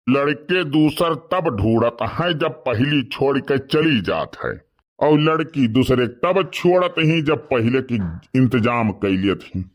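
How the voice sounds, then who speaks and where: A man's voice: mid-range voice, animated, slow. A man voicing a gossipy, mischievous village man in a comedy cartoon, speaking with an Awadhi accent from eastern Uttar Pradesh. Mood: sly mocking.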